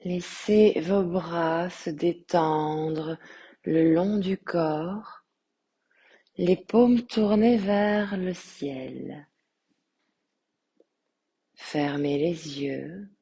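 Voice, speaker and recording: Woman, yoga teacher, guided relaxation, soft breathy voice, dark mellow tone, very slow, calm, measured pauses. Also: fairly low pitch. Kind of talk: guided meditation.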